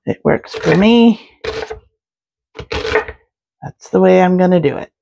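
A woman narrating a home cooking video in her kitchen, talking through each step in a relaxed way with small fillers. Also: fairly low pitch, takes it slowly, vocal fry, highs cut off. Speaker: home cook, channel host.

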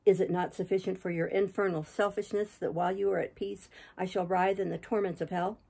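A woman's voice: fairly low pitch, fairly even intonation, brisk, gravelly, warm tone. A woman reading aloud and voicing an anguished young man who lashes out in fierce, accusing speech. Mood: savage.

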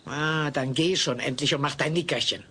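An actress playing a brusque, scheming freak-show owner in an audio play, theatrical and bossy. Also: female voice, low-pitched, normal speed, bright, forceful tone. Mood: dismissive. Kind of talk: German audio play acting. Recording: slightly noisy old cassette.

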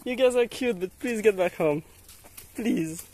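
A man's voice: sits high, a little slow, a little mellow, a little hiss or hum. A young man, a native French speaker, chatting casually and laughing often while hiking outdoors, filming on a handheld camera. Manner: giggly, coaxing, laughs often. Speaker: vlogger. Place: outdoors on a dirt hillside path. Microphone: handheld camera filming while walking.

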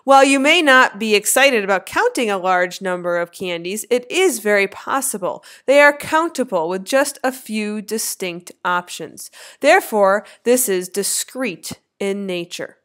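A woman in her thirties narrating a slideshow lecture as an instructor, with clear, lively teacherly emphasis. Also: mid-range voice, a little slow, hardly any pauses. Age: 30s.

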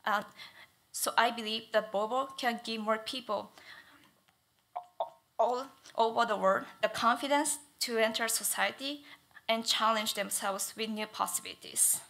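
Young woman, non-native English speaker, bright voice, halting thesis presenter at a lectern. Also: fairly high pitch. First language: not English. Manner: stutters, careful with frequent fillers. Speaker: student presenter. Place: auditorium stage at lectern. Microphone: lectern mic.

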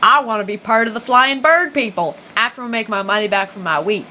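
A woman's voice: fairly high pitch, brisk, pushed and bright, slight background noise. A woman playing a scrappy country hick farmer who rides the rails, talking in folksy, ungrammatical slang in an amateur radio skit. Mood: folksy, cocky.

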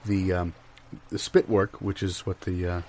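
Casting: male improviser as earnest, rambling salesman, slightly deep voice, straight-faced comedy sketch delivery. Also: a little hiss or hum. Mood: explanatory, deadpan. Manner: deadpan, meandering, pausing with ums. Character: earnest, long-winded salesman of absurd sandbars.

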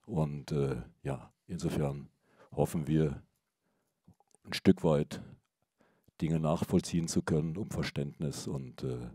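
Very deep measured man at a presser. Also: mellow, slightly rough, dry recording, studio quality. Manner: measured, formal. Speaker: supervisory board chair. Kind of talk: press conference statement. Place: press conference room. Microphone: table microphone.

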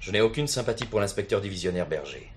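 An actor playing a stiff, coldly dutiful police superintendent in a French radio play, speaking in formal, measured officialese. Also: male voice, on the low side, expressive, a little hiss or hum, lightly acted. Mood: deferential, firm.

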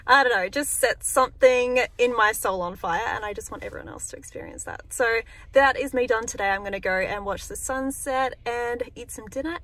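A woman's voice: fairly high pitch, a bit bright. A young woman from Australia, vlogging casually to camera in an upbeat, chatty way, speaking into a phone held close in a parked car. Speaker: travel vlogger.

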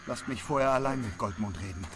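An actor playing an urgent, troubled half-elf leader in a fantasy audio drama. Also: male voice, medium pitch, fast talker, noisy background, natural voice. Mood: grave. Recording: loud music behind the voice.

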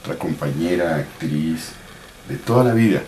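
A man's voice: low-pitched, animated, moderate pace, darkish tone. An older man with a Colombian accent reminiscing emphatically, savouring his phrases, in an interview. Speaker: veteran radio actor reminiscing. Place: living room, indoors. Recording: roomy, faint background noise.

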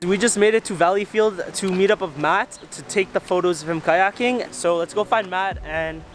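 Male vlog host, twenties, casual delivery, slightly high voice over clear background music. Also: normal speed. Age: 20s. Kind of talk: casual vlog talk to camera. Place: car interior, a room, outdoors by a river at different times. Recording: music behind the voice.

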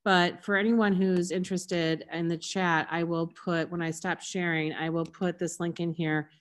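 A woman's voice: fairly low pitch, flat delivery, normal speed. Chatty woman hosting a video call. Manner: chatty, apologetic, restarts under tech trouble. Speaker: conference host. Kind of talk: casual video-call chat.

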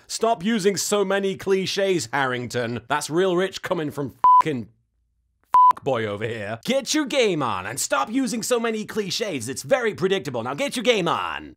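A man delivers a comedic recap with heavy sarcasm. His voice is fairly high and very animated, and he exaggerates his bafflement for effect. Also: heavy background noise. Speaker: host of an anime recap show.

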